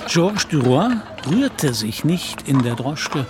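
A man narrating a literary radio play as a composed storyteller, measured and articulate. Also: a little high, sing-song, background noise. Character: composed male storyteller of a Parisian novel.

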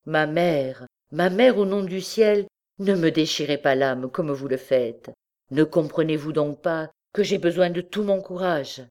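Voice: medium pitch, measured pace, a little mellow, lightly acted. A narrator voicing a resolute young man who speaks solemnly and emphatically in a dramatic storybook reading. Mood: pained pleading. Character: resolute, grave young man confessing to a crime.

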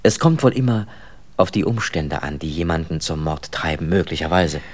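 A man's voice: extremely low pitch, animated, moderate pace. An actor playing a cool, evasive young French businessman in a German radio play, reserved and politely curt. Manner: reserved, clipped, hesitant politeness. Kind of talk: German radio drama acting.

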